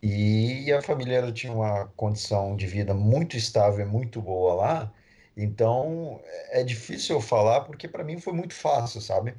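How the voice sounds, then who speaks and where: Husky-voiced Brazilian man chatting. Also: medium pitch, normal speed, dark tone. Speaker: panelist sharing experience. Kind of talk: casual chat, personal account.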